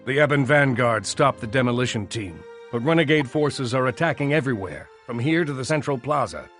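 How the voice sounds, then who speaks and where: An actor playing an urgent human military commander in a video game cutscene, with a clipped, commanding delivery. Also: male voice, mid-range voice, expressive, fairly quick, fairly bright tone, faint music behind, close to an everyday voice. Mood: grim, urgent.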